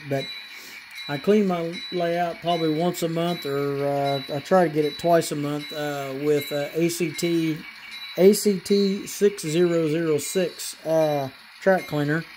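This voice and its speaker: Halting, easygoing man narrating his trains. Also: on the high side, background noise. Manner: halting, many fillers and restarts, relaxed. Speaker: model railroad hobbyist channel host. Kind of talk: casual running commentary while operating trains. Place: apartment room with model train layout.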